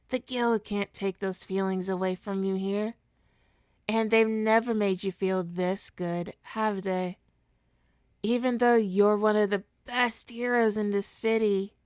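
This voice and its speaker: A woman playing a smug, seductive supervillain in an ASMR roleplay, coaxing and teasing her hero nemesis with deliberate, hypnotic talk. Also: mid-range voice, a little mellow, clear, resonant tone, no echo, clean studio sound, natural voice.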